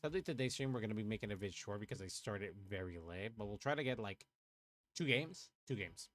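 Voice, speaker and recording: A young man from Puerto Rico with a lowish voice chats casually through a card-game livestream. He thinks aloud, mutters and swears at his own plays. Faint music plays under his voice. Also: animated, brisk, dry recording. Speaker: streamer playing an online card game. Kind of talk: casual livestream chat and play commentary.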